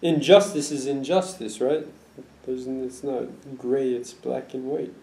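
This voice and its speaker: A man's voice: mid-range voice, steady tone, unhurried, gravelly, dark tone. A man interviewing a guest with probing, sometimes provocative questions. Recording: echoey room.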